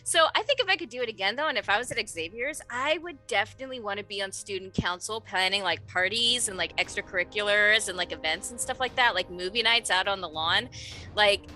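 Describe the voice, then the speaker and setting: A woman with a bright, forward voice chats as a player in a roleplaying group. She is informal and enthusiastic, and soft music plays in the background. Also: mid-range voice, brisk. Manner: chatty, informal, enthusiastic, swears freely. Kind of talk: casual chat. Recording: faint music behind.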